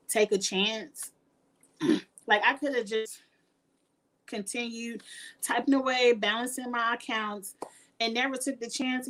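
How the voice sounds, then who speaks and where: A woman's voice: a bit bright, low-quality recording. A woman, chatting casually with her viewers as a YouTuber, laughing often and sounding earnest and encouraging. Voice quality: high-pitched voice. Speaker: YouTuber answering live chat.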